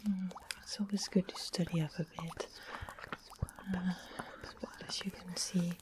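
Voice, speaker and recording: A woman's voice: fairly low pitch, flat delivery, natural voice. Whispering, sweet and sly witch played by a woman. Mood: careful, explaining. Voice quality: soft unvoiced whisper. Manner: gentle, unhurried, doting, quietly sinister. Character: sweet-smiling, sly witch of a fantasy land. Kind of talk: ASMR fantasy roleplay. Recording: close whisper with clear background noise, clicks.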